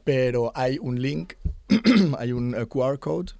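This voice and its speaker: Halting middle-aged man, mellow voice. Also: mid-range voice, animated, creaky, dry recording. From Germany. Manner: halting, many fillers and restarts. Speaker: interview guest, artist's son. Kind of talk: conversational interview.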